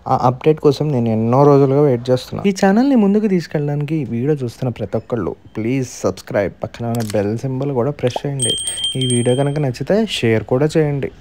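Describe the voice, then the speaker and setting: A man's voice: medium pitch, very fast, warm tone, a little hiss or hum. A man with a Telugu Indian accent narrating a tutorial as a gaming channel host.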